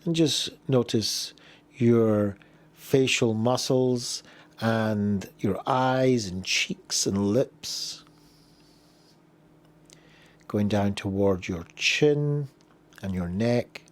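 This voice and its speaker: A man leading a guided body scan meditation, giving gentle, unhurried instructions with pauses between phrases. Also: lowish voice.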